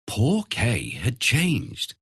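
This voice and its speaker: A man reads a scripted fairy tale as narrator. His mid-pitched voice is very husky and swings widely in melody for expressive storytelling. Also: extremely slow.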